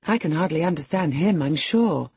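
A man voicing a lively, curious teenage girl who speaks in a high, earnest voice. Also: moderate pace, husky, no room sound, full character voice.

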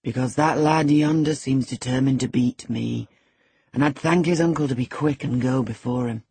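Narrator doing a menacing middle-aged guy from an old novel, husky voice, sneering and bossy, sardonic. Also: medium pitch, moderate pace. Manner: sneering, commanding, alternately muttering and shouting.

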